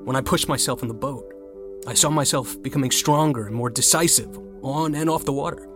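A man's voice: medium pitch, a little fast, over music, lightly acted. A man playing a sarcastic, stubborn young college rower in a naturalistic audio drama. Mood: reflective. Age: young adult. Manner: wry, sardonic.

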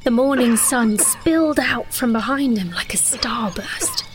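A young sorceress with a fairly high, breathy voice. She is sheltered, anxious and stubborn, telling her fantasy audio drama intimately, with music underneath. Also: lively intonation, measured pace. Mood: breathless, tense. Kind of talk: dramatized first-person audio fiction narration.

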